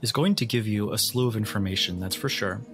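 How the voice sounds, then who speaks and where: A man with a fairly low voice runs a tabletop roleplaying game. He narrates as game master over a clear music bed. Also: fast talker, a bit bright.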